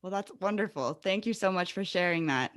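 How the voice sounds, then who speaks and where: A woman's voice: fairly low pitch, lively intonation, fairly quick, dry recording, studio quality. A woman hosting a casual podcast interview, encouraging and effusive toward her guest. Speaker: podcast host.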